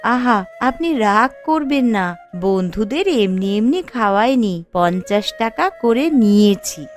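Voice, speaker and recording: A woman's voice: fairly high pitch, lively intonation, slow pace, faint music behind. A woman playing a determined, enterprising young daughter-in-law in a cartoon family drama, firm and instructing. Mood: insistent.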